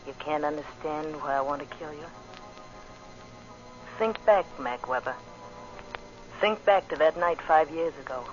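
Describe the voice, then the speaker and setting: An actor playing a bitter avenger bent on revenge, in an intense, brooding old-time radio monologue. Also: male voice, a little high, measured pace, pauses often, soft background music, close to an everyday voice. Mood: vengeful, intense. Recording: dull, noisy old radio recording.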